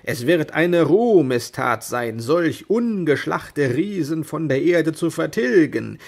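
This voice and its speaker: A man's voice: fairly high pitch, expressive, slow, gravelly, voice pushed up, heavily acted. A man voicing a proud, deluded knight-errant in a grandiose, declamatory manner for a storybook. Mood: defiant, battle-hungry.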